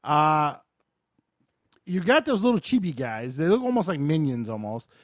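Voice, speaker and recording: Higher-pitched man chatting on a dry-sounding podcast. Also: sing-song, normal speed, no room sound. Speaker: podcast host reviewing video games. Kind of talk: casual chat, game review.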